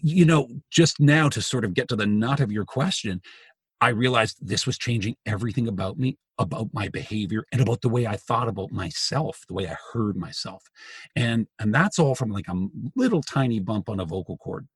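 A man with a gravelly, raspy voice, a journalist chatting as a guest and telling stories with frequent restarts. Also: lowish voice, animated, brisk, dry recording, clean studio sound. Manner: chatty storyteller, restarts sentences. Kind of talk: casual podcast conversation.

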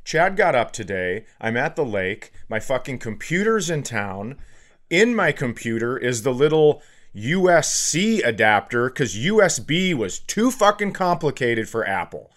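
A man's voice: medium pitch, very animated, a little slow, a bit bright. An American man co-hosting a podcast with casual comedic chat in a sardonic, sweary manner. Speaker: podcast co-host.

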